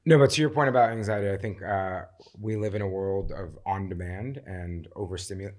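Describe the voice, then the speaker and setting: A man in his thirties, a podcast guest, speaking in a reflective, measured way. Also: sits low, a little mellow, a little hiss or hum. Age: 30s. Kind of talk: casual podcast chat.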